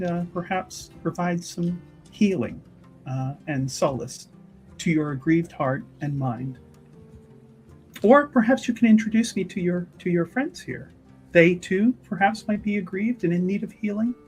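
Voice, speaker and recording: A woman playing a gentle, earnest, pious priestess who offers solace in formal, halting words over music. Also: on the low side, natural voice.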